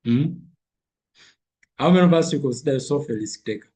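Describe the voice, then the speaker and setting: A man coaching a group on a call while nursing a cold, his voice husky and rough at the edges. He repeats numbers and phrases to drive them home, steady and mid-pitched. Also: fairly quick. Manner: repeats figures and phrases for emphasis. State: has a cold. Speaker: trading coach. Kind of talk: live online trading lesson.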